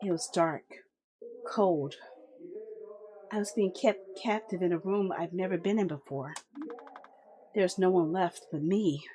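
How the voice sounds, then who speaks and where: Woman as a young captive girl, quiet bitter narration. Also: measured pace, long pauses, a little hiss or hum, lightly acted. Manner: low, subdued, introspective narration.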